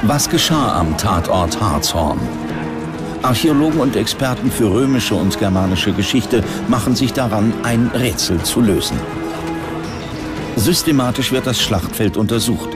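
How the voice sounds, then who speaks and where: A man's voice: on the low side, lively intonation, measured pace. A man reading scripted documentary narration as a narrator. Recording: music behind the voice. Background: background music.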